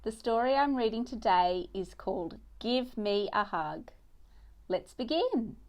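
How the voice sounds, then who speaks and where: Slow-paced woman reading a children's story. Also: fairly high pitch, animated. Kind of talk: read-aloud storybook narration.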